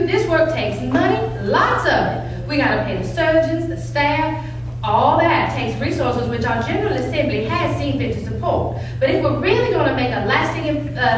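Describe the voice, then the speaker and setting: An actress playing a brisk, folksy, condescending county official in a staged play reading. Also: female voice, sits high. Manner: chatty, bossy, folksy, patronizing. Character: brisk, folksy, condescending 1960s county eugenics coordinator woman. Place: large room at a live stage reading. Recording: echoey hall from far off, faint hum.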